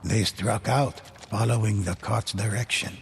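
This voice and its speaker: A man voicing a grave storyteller, narrating ghost legends for a game in a slow, gravelly voice. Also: fairly even intonation, background noise. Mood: solemn. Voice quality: deep, gravelly. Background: music.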